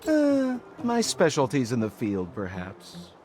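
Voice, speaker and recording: Sly young male rogue, smooth-talking, theatrical, very lively intonation, video game, light music behind. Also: mid-range voice, takes it slowly. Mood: glib, mock-hurt. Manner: glib, theatrical. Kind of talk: video game dialogue acting.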